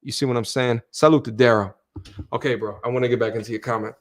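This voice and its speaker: A man hosting a livestream discussion, reading out viewer comments and giving calm, reflective remarks. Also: medium pitch, quick pace. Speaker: host.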